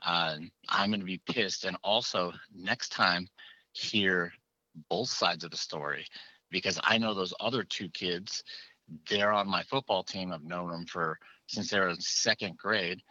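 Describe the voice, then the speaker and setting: A father calling in to a show and telling his story in an earnest, halting way. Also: low-pitched, pushed and bright, no echo. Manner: earnest, halting, repeats words. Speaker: caller, parent. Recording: muffled phone line.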